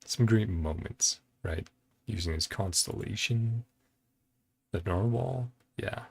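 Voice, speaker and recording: Man, video host, casual rambling commentary, unhurried, gravelly low voice, light background music. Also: no echo. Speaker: video host reacting to game trailers.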